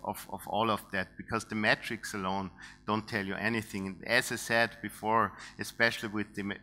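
An Austrian man in his thirties speaks from a big dark stage through a headset mic. His voice is bright and a little tense, set on the low side. He talks his way through a technical topic, thinking aloud with fillers and restarts. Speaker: conference speaker. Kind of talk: technical conference talk. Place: large dark conference stage.

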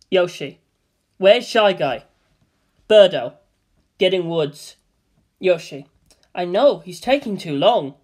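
Deadpan man reading a comedy script. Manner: flat, low-key reading of stage directions and names. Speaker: script reader.

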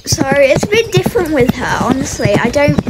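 Young woman narrating her horse game, kinda high voice, lots of um and like, loud noise behind. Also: very animated, brisk. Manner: chatty, filler-heavy, coaxing her horse. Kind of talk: casual gameplay commentary.

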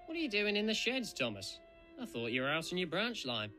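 Man as a vain, sharp-voiced cartoon steam engine. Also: sits high, wildly swooping pitch, a little fast, faint music behind, full character voice. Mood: curious. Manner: pompous, huffy, self-assured. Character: vain, boastful male red steam engine playing detective. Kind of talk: fan comic dub voice acting.

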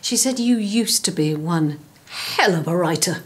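An actress playing a sharp, sarcastic, middle-aged British boss with a foul mouth in a comedy soap. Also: female voice, fairly low pitch, lively intonation, a little slow, a little hiss or hum. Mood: biting. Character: furious, foul-mouthed woman boss of a radio drama office. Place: office, indoors.

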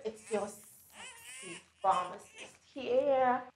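A woman's voice: a little high, wildly swooping pitch, slow, heavily acted. An actress playing a callous young woman vlogger who taunts and scolds in a mock-sweet, scornful way. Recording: echoey room with faint music.

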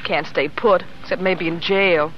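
A woman's voice: fairly low pitch, fairly even intonation, unhurried, natural voice. A woman playing a cynical, wisecracking American working-class neighbour in a 1930s radio drama, slangy, sardonic and needling. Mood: scornful. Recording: muffled, hissy 1930s radio broadcast.

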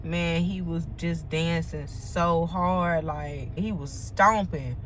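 A woman's voice: fairly low pitch, fairly even intonation, takes it slowly, noisy background. A young woman with a Southern US accent chatting casually and in slang to a phone held at arm's length. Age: young adult. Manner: informal, slangy. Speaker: vlogger. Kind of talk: casual vlog chat to camera. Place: rooms at home and a parked car.

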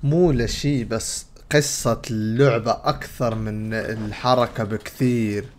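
A man's voice: lowish voice, fairly even intonation, a little slow, slight background noise. A young adult man with a Levantine Arabic accent, casually narrating and commenting on a game as he streams it. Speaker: gaming streamer. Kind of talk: casual game playthrough commentary, retelling dialogue. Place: indoors at a computer.

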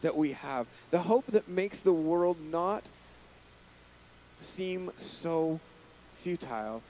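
A man in his thirties preaching a sermon into a handheld mic in a small church hall, earnest, lifting his voice on key points and dropping low at phrase ends. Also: lively intonation, takes it slowly, mellow, a little hiss or hum. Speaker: pastor. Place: church hall with curtains, small indoor stage.

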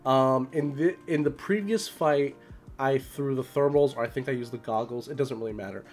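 A man in his thirties with a slightly hoarse voice, giving casual, informal strategy commentary on gameplay and explaining as he goes. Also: medium pitch, normal speed, a little mellow, soft background music. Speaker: gaming video creator. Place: small room at home.

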